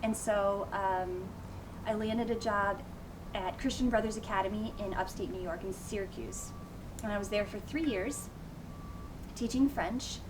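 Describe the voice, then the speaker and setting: A woman teacher answering interview questions about her life in a relaxed, thoughtful way, with frequent pauses. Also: medium pitch. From United States. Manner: thoughtful, with frequent ums and light laughs. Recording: noisy background ambience.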